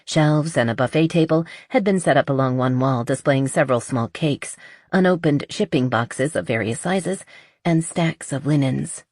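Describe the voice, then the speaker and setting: Low-voiced woman narrating fiction, close and dry. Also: moderate pace, darkish tone, no echo. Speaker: audiobook narrator.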